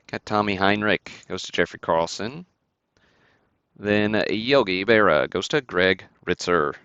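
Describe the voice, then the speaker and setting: A man with a deep, smooth voice hosts a card break stream, reading out items and names in an easygoing, casual way. The sound is very dry and close. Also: a little slow, a bit bright, no room sound, lo-fi sound. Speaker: host of a sports card break livestream. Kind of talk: casual livestream commentary, calling out cards and buyers.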